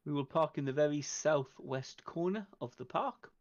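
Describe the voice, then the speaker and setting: A man's voice: a little high, moderate pace, a little mellow, highs cut off. A man narrating casually and talking at the table in a tabletop roleplaying game stream.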